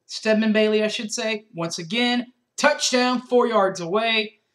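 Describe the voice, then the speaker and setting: Slow-talking young man reading game stats. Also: sits high, a bit bright. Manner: methodical stat-reading with restarts. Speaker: sports fan reviewer. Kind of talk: postgame recap. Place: small bedroom indoors. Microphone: webcam at desk.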